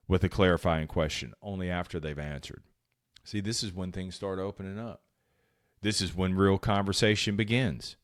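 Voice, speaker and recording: A man hosting a motivational sales podcast, talking bluntly and emphatically in short, punchy phrases, with some profanity. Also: fairly low pitch. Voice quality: powerful voice. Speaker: podcast host and sales coach. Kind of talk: motivational solo talk.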